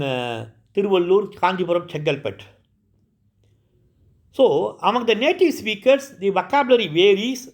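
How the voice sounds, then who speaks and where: A man from India with a Tamil Indian English accent, a lecturer giving a recorded lesson, explaining slowly with frequent repetitions and self-corrections. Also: high-pitched. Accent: Indian English, Tamil Nadu.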